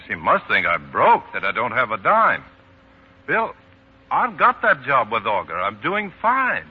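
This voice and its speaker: An actor playing an earnest, busy political speechwriter in 1940s radio drama, animated and hesitant when cornered. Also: male voice, medium pitch, unhurried, breathy edge, full character voice. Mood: sheepish, explaining. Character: Stephen, earnest busy male political speechwriter, sociable but evasive. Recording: dull vintage 1940s radio broadcast.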